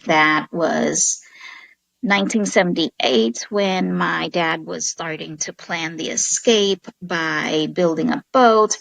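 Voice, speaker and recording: Woman, 50s, from South Vietnam; low, gravelly, unhurried interview guest. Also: fairly bright tone, no echo, rough recording. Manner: slow, measured telling with many fillers. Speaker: guest, social worker. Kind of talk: interview storytelling.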